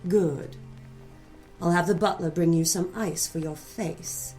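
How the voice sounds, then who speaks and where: A woman's voice: on the low side, steady tone, takes it slowly, darkish tone, faint music behind, low-quality recording. An actress playing a cruel, haughty middle-aged mother in a noir audio drama, scolding and condescending. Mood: quiet, menacing. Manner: imperious, scolding, condescending endearments. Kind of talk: noir radio drama acting.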